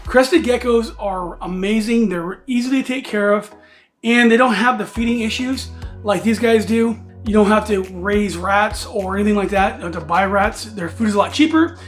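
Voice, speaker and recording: A man in his forties, hoarse and raspy from allergies, hosting a casual vlog and rambling with many fillers and restarts. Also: high voice, moderate pace, faint music behind. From United States. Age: 40s. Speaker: reptile channel host.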